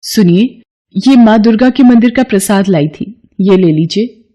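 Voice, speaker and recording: A woman's voice: mid-range voice, a little slow, mellow, voice pushed up, lightly acted. A woman voicing a humble, devout young wife in a story, plaintive and pleading. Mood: dutiful.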